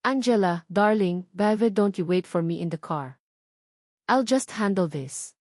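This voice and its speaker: Woman as authoritative woman boss, cold, imperious, clipped, Filipino accent, story drama, medium pitch. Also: lively intonation, a little slow, a little mellow, no echo, clean studio sound. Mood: controlled, dismissive. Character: cold, authoritative female company executive.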